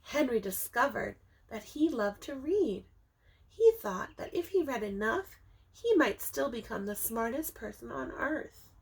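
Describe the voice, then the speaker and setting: A woman teacher reading a picture book aloud to young children, expressively, with dramatic emphasis and drawn-out words, speaking to them directly. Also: on the high side, lively intonation, gravelly, rough recording. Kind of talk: animated children's storybook read-aloud.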